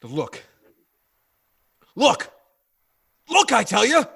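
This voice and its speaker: Casting: man as agitated radio-play pilot, fairly high voice, slow emphatic delivery, widely swinging inflection, exasperated and commanding. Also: huge pitch swings, gravelly, bright, forceful tone, breathy edge, heavily acted. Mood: frantic, insistent. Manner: emphatic, halting, insistent. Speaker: voice actor. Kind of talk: 1940s radio drama acting.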